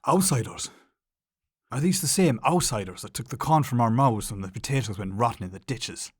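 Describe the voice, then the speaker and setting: Angry bitter irish farmer, big swings in tone, dramatic monologue, close dry recording. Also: male voice, mid-range voice, lively intonation, fairly quick, slightly rough, no echo. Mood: embittered, accusing. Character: fierce, embittered Irish farmer defending his land.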